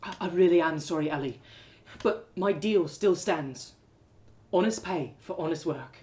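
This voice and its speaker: A woman voicing an earnest young man torn by guilt, pleading haltingly in a story. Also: fairly low pitch, a little mellow, voice pushed down, lightly acted. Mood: sorry, earnest. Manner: halting, repeating words as he pleads.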